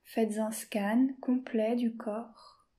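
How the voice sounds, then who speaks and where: Slow, soft-spoken woman guiding meditation. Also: on the high side. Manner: slow, soft-spoken and calm, with long pauses; often drops to a whisper. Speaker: yoga nidra teacher. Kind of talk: guided meditation.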